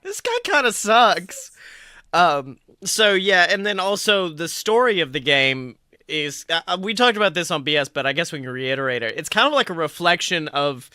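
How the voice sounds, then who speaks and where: A man's voice: a little high, very animated, normal speed, sharp tone, clear, resonant tone, no echo, studio quality. A man hosting a podcast in casual, joking banter, swearing now and then. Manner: joking, uses profanity. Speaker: podcast host. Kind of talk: casual banter about a video game.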